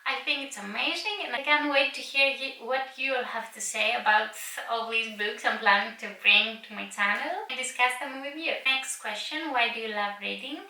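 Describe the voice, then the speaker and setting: A woman's voice: fairly high pitch, normal speed, hardly any pauses, bright, forceful tone. A young woman whose first language is Greek, chatting eagerly on her video channel about her books, with a few slips and restarts. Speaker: booktuber. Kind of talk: casual vlog chat. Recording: roomy echo, mic a little far.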